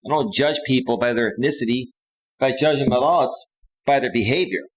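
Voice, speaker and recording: A man's voice: medium pitch, fairly quick, poor audio. Insistent man hosting a casual livestream. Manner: repetitive, insistent direct address with slangy "bro" and "dude". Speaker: host of a live stream. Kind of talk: casual off-the-cuff livestream monologue.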